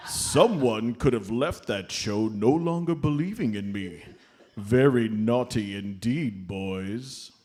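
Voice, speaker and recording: A man playing a jolly, avuncular Santa Claus, gently scolding and fond, in a live comedy radio play. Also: on the low side, slow, a little hiss or hum. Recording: live stage comedy show recording.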